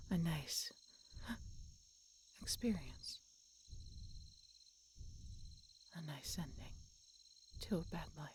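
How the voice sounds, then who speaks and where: An ancient dying dragoness, acted by a woman, speaks low and very slowly in a breathy near-whisper. She is gentle and tender, pausing often as she addresses a beloved companion in an intimate audio roleplay. Crickets and night ambience sit clearly behind her. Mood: pained, reflective. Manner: slow, halting, tender delivery with long pauses. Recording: clear background noise, night insects.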